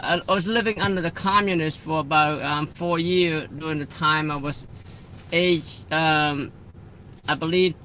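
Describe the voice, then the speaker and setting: A man in his fifties from Cambodia, speaking haltingly as a radio interview guest, with many fillers and restarts. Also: on the high side, pushed and bright, slight background noise. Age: 50s. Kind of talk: phone-in interview.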